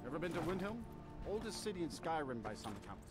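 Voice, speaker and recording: A chatty male carriage driver from a fantasy game. He speaks quickly, with clear music behind him. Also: on the high side, very animated. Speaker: game character.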